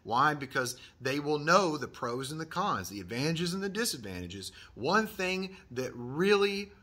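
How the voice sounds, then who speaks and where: Man in his thirties, bright tone, slightly husky, earnest advice vlog, close webcam in a workshop. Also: a little high, big pitch swings, a little slow. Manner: earnest, encouraging, repeats key phrases. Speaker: HVAC channel host. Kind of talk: advice vlog, reading a viewer email. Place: indoor workshop room with wall decorations.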